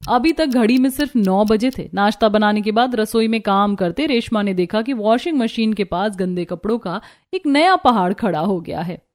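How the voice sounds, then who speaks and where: A woman narrating a scripted cartoon family story in an expressive storytelling manner. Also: mid-range voice, moderate pace, few pauses.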